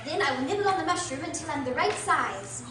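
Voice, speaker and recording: A woman's voice: high-pitched, animated, a little fast. A young woman playing a curious, outspoken young girl in a radio drama. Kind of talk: stage radio drama acting. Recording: echoey hall, distant mic, loud noise.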